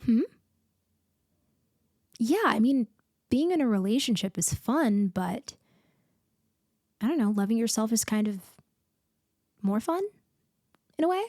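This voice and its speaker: A woman playing a blunt but caring best friend in a comforting roleplay ASMR audio, talking casually with thoughtful pauses. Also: a little high, lively intonation, darkish tone, no room sound, clean studio sound. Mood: reflective, reassuring. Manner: casual, conversational, hesitant pauses. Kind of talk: intimate roleplay ASMR, casual one-sided conversation.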